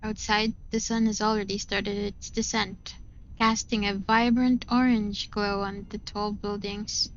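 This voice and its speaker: A woman's voice: mid-range voice, little pitch movement, unhurried, dull audio, a little hiss or hum. A woman reading first-person visual novel narration in a dramatic storytelling style. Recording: music behind the voice.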